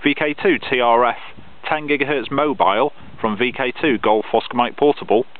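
A man's voice: medium pitch, normal speed. A male amateur radio operator chatting over a contact outdoors, reading out call signs in a procedural way. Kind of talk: ham radio contact chat. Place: outdoors on open grassy hilltop. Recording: narrow phone-like sound with radio noise.

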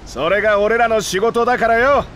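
A hearty, rough-spoken sailor. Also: male voice, sits high, normal speed, fairly bright tone, a little hiss or hum. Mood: jovial.

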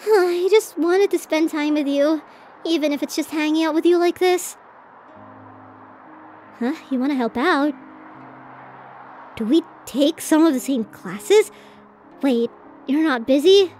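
Woman voicing a high-pitched flustered tsundere anime girlfriend. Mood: playful, bashful. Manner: flustered, hesitant, swinging from protest to soft affection. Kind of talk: ASMR romantic roleplay.

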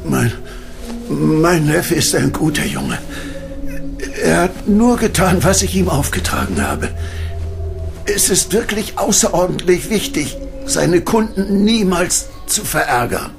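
An actor playing an elderly, ill coin dealer in a radio play, talking tiredly. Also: male voice, on the high side, big pitch swings, slow, gravelly, over music, heavily acted. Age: older.

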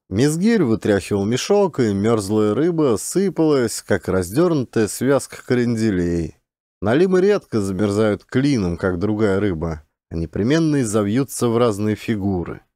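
Male narrator for classic fiction, mellow and animated in pitch, scripted storytelling delivery. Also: no echo, clean studio sound. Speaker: audiobook narrator, actor.

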